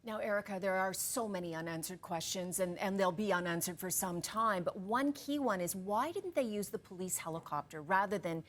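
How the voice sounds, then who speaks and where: A woman's voice: mid-range voice, animated, a little fast, few pauses. A woman with a Canadian accent anchoring a news broadcast.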